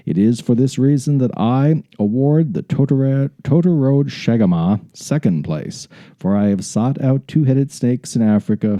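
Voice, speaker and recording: A man's voice: mid-range voice, dark tone, no echo. A man co-hosting a podcast, reading a story aloud and breaking into casual, irreverent and profane asides.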